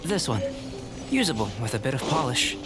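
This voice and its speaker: A man playing a composed, analytical young alchemist scholar in a video game, speaking in a measured, formal way. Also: medium pitch, big pitch swings, over loud music, natural voice. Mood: calm, reassuring.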